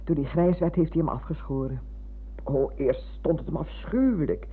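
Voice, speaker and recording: An older actress playing a chatty, fussy, sentimental retired schoolmistress who rambles and interrupts herself in a radio play. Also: female voice, low-pitched, expressive, normal speed, slightly rough. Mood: reminiscing. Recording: muffled phone-like old radio recording.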